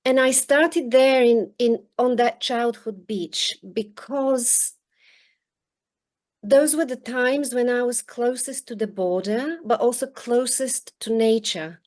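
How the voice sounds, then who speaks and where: Woman in her forties, from Bulgaria, unhurried, halting with restarts, video call. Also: on the high side, darkish tone. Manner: halting, many restarts and ums. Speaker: guest author. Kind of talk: reflective interview answers. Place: home in rural northern countryside.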